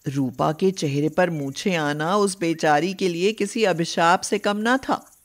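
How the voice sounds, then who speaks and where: A woman storyteller reading a scripted narration for an animated tale. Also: mid-range voice.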